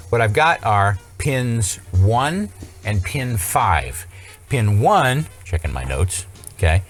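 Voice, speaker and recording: A man presenting an unscripted hands-on electronics tutorial in an informal, explanatory way with wry asides. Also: on the low side.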